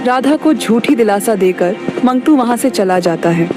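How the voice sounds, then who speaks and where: A woman's voice: a little high, lively intonation, moderate pace, music in the background. A woman narrator reading a scripted moral story for a cartoon.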